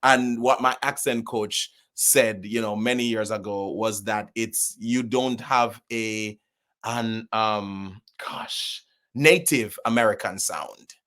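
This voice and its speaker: A man's voice: on the low side, slow. A man with a Jamaican accent, a guest on a podcast, talking casually about acting and slipping into patois. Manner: lively, drifts into Jamaican patois. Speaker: guest, actor and theatre producer.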